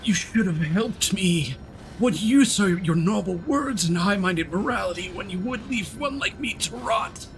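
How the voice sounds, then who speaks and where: A man's voice: sits high, lively intonation, measured pace, music in the background, voice pushed up, poor audio. A man voicing a bitter, self-righteous dying coward as he reads a letter from a video game in a theatrical, indignant manner. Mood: bitter indignation. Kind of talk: dramatic reading of game text.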